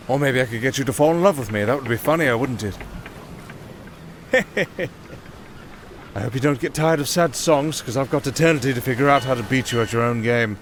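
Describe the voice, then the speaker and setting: An actor playing a silver-tongued wandering musician in a fantasy audio drama, sardonic and theatrical, easily flustered. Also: male voice, mid-range voice, a little hiss or hum, close to an everyday voice. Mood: sly, teasing.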